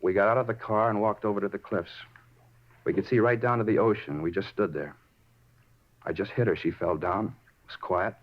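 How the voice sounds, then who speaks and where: An actor playing an unhinged, self-justifying murder suspect in a 1950s radio drama, rambling over small details and repeating himself. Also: male voice, fairly low pitch, normal speed, lightly acted. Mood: matter-of-fact. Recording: muffled 1950s radio recording.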